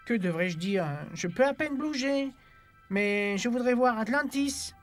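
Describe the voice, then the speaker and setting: A woman's voice: on the high side, unhurried, natural voice. A woman playing a curious, forthright young girl from an underwater kingdom in a dubbed cartoon. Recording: music bed under the dialogue.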